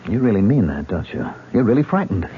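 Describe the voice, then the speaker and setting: A man's voice: fairly low pitch, sing-song, brisk, a little hiss or hum. An actor playing a haunted man sliding into murderous madness, uneasy and then eerily delighted, in an old radio drama. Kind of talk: 1970s radio drama acting. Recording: dull old radio broadcast.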